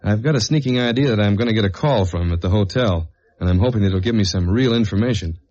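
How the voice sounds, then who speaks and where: An actor as a low-voiced hard-boiled male investigator, vintage radio. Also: fast talker, a little mellow, natural voice. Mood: earnest. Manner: clipped, slangy, hard-boiled. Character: tough, wry male government investigator in a detective radio drama. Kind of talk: 1950s radio acting with first-person narration. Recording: dull vintage radio recording with music.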